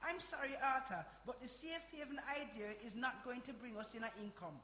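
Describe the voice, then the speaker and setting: An actress playing a firm, pragmatic community centre manager in a stage play, insistent and admonishing. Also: female voice, on the high side, expressive, a little fast, bright, forceful tone. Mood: firm, worried. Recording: echoey live hall, faint noise.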